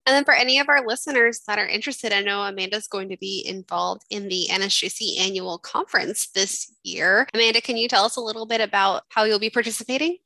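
A woman interviewer asks short, polite questions and thanks her guest warmly in words. Her tone is bright and forward. The recording is dry and close. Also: mid-range voice, steady tone, quick pace, few pauses, no room sound. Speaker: podcast co-host interviewer.

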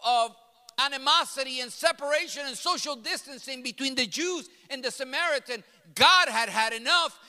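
A man whose first language is Spanish, preaching with emphasis, circling back over his phrases as he builds. His voice sits very high and cuts through sharply. It rings out with a long tail of reverb, as in a big church hall. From grew up in New York City. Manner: emphatic, repeats and restarts phrases, builds to loud passionate peaks. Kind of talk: sermon.

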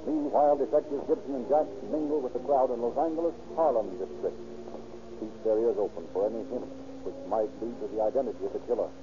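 A man's voice: medium pitch, fairly even intonation, fast talker. A man narrating a scripted 1930s radio drama with a formal announcer's delivery. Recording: muffled, noisy old radio recording.

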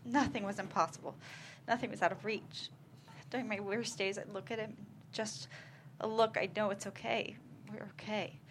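A woman's voice: mid-range voice, slight background noise, close to an everyday voice. Woman playing a tender, wistful widowed mother. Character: tender widowed mother remembering her late husband. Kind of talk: script table read.

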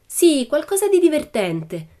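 A woman voicing a gentle, careful little girl in a storybook reading. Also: high-pitched, big pitch swings, unhurried, voice pushed up.

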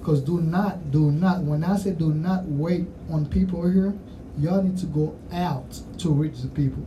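A man's voice: fairly high pitch, unhurried, far from the mic, muffled recording. A man with a Haitian accent interviewing musicians in casual, rambling chat, full of "you know what I'm saying", recorded off-mic with faint noise behind.

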